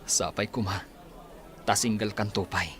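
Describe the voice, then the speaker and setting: A man's voice: fairly low pitch, steady tone, unhurried, a bit bright, a little hiss or hum. A Filipino man acting an earnest, affectionate character in a radio drama. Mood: earnest, pleading.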